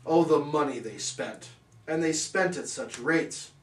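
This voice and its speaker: A man's voice: fairly high pitch, measured pace, echoey room, far from the mic. A man reading a rhyming bedtime story parody aloud in a theatrical, singsong manner.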